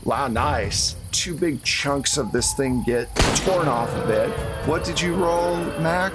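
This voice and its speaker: A man's voice: medium pitch, slow pace, over loud music. A man narrating a tabletop horror roleplaying game as game master, in a casual, conversational way.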